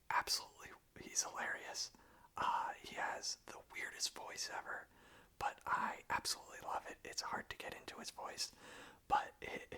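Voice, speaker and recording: An ASMR host speaking in a breathy, unvoiced whisper, gentle and enthusiastic in manner. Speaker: ASMR host chatting about and reading song lyrics.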